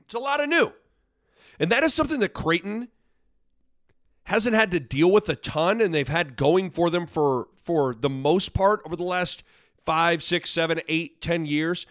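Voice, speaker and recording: A man's voice: fairly high pitch, a bit bright, no echo, clean studio sound. A young man hosting a podcast in casual sports talk, congested from a sinus infection, rambling and correcting himself as he goes. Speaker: podcast host.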